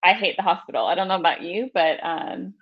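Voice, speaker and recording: Low-voiced woman on dull lo-fi audio. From Florida, USA. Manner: measured, with many fillers and restarts. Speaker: podcast guest. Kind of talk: casual interview chat.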